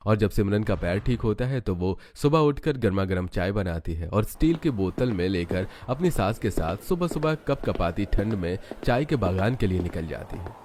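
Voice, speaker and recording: A man's voice: on the low side, expressive, normal speed, hardly any pauses, a little mellow, a little hiss or hum. A man reading scripted storybook narration for a cartoon story.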